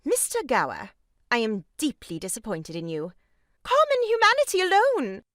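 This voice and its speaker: A woman's voice: fairly high pitch, wildly swooping pitch, normal speed, fairly bright tone, no echo, clean studio sound. An actress playing a meddling, pompous woman in a stage farce, declaiming with melodramatic grievance. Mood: reproachful. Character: meddling, theatrically aggrieved sister-in-law, grandly moralizing woman. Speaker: voice actor. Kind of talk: stage farce audio drama acting.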